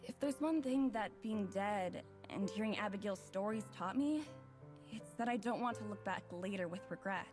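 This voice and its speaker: A woman's voice: mid-range voice, normal speed, natural voice. An actress playing a reflective, wry young woman who narrates her own story in a visual novel. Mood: wistful, hopeful. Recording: music playing clearly underneath.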